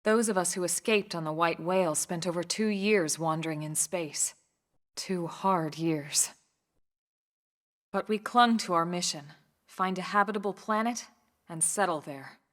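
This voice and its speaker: An actress playing a composed, dutiful military officer in a video game cutscene, calm, serious and measured. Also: female voice, fairly low pitch. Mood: somber, reflective.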